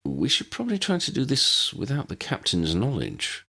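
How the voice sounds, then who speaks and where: A man voicing a formal, cautious man with measured, polite, wordy speech in an audiobook. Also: medium pitch, huge pitch swings, sharp tone, slightly rough, voice pushed up, heavily acted. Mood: careful suggestion. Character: formal, cautious, chivalrous man who gently objects.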